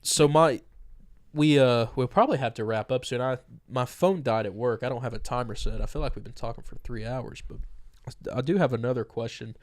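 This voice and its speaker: A man's voice: medium pitch, fairly quick. A young man co-hosting a podcast, chatting casually and rambling with lots of fillers, a bit stuffy from a cold. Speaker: podcast co-host. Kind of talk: casual chat.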